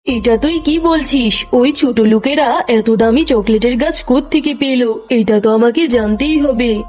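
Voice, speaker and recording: A woman's voice: a little high, a little slow, a little mellow, soft background music, pitched below the performer's own voice. A woman voicing a mean, greedy fox shopkeeper and mother in a children's cartoon, scolding and contemptuous. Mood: scolding, scheming. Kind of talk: children's cartoon story acting.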